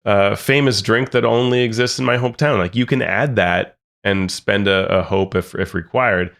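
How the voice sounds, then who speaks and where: A man's voice: lowish voice, moderate pace, vocal fry, a bit bright. A man co-hosting a podcast, chatting casually and thoughtfully, often repeating words as he thinks. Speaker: podcast co-host. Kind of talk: casual chat about tabletop games.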